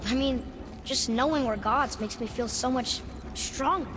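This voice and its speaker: A man's voice: very high-pitched, unhurried, background noise. A young male actor playing a curious, cocky boy, the son of a god, in a video game. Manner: inquisitive, youthful, casual.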